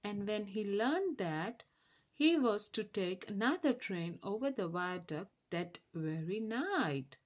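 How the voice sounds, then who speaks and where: Slow, expressive woman storyteller. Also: medium pitch, muffled recording. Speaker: storyteller reading a children's book aloud. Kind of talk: expressive scripted narration.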